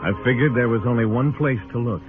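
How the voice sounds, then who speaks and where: A man's voice: medium pitch, fast, natural voice. An American man playing a stern frontier marshal in an old radio western, telling his story in a laconic, hard-boiled way. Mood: calm, firm. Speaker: narrating lead actor. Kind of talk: old-time radio western acting with first-person narration. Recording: tinny old radio recording with music.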